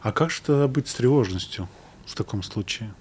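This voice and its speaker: A man's voice: mid-range voice, expressive, brisk, darkish tone, a little hiss or hum. A man in his thirties hosting a casual interview, asking questions in a hesitant way as he searches for words. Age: 30s. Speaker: host.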